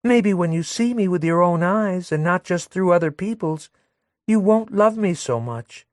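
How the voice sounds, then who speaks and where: Man doing a high, sing-song young woman, sugary and spiteful, audiobook character. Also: lively intonation, moderate pace, dry recording, studio quality. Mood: cooing. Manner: sweet, wheedling and catty. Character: coy jealous young woman, cooing to her lover.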